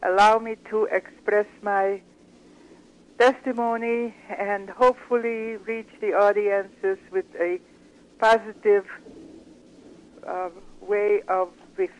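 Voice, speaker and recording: An older woman from Hungary, a guest giving her personal testimony slowly, with measured, searching pauses. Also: medium pitch, steady tone, dull audio.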